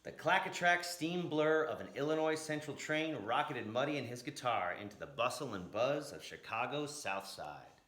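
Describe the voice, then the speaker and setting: A man's voice: medium pitch, animated, normal speed, hardly any pauses, a bit bright. A man reading a picture book aloud in a dramatic, emphatic way, drawing out key words. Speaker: storyteller, blues educator. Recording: echoey room.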